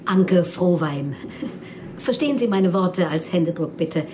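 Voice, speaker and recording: An actress playing a wry, forthright, businesslike police assistant in a naturalistic radio drama. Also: female voice, lowish voice, animated, unhurried, background noise. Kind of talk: naturalistic German-language radio drama acting.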